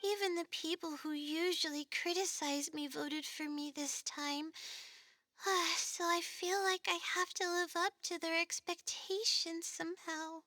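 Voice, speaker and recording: A woman's voice: very high-pitched, steady tone, measured pace, sharp tone, no echo, heavily acted. An actress playing a timid, anxious young female student in a video game, speaking hesitantly and earnestly. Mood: anxious, burdened.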